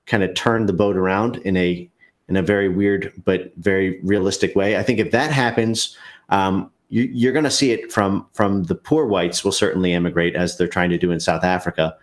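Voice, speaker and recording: A man speaking as a guest in a casual livestream discussion, talking in a halting way with many fillers and restarts. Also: sits low.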